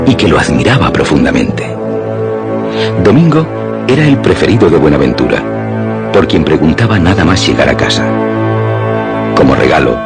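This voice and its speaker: A man narrating a scripted suspense radio drama in a measured, dramatic way. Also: extremely low pitch.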